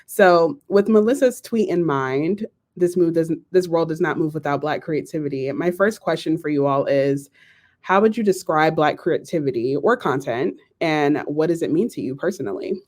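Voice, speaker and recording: A woman moderates a live panel and keeps the talk casual and enthusiastic. Her voice is low for a woman. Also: normal speed, darkish tone. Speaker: host, moderator.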